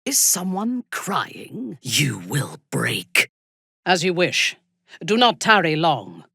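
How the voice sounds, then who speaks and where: A cold, menacing dark elf commander for a fantasy game. Her voice is low and husky, and she speaks slowly and deliberately, with wide, dramatic swings in pitch. She sounds imperious and disdainful. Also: huge pitch swings, dry recording, studio quality, full character voice. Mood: cold menace. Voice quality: husky, breathy. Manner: slow, deliberate, imperious, precise.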